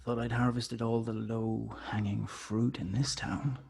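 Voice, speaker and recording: A man acts out a hulking supernatural killer for a screenplay read. The voice is gravelly and husky, with a flat, menacing delivery that barely moves in pitch. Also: unhurried.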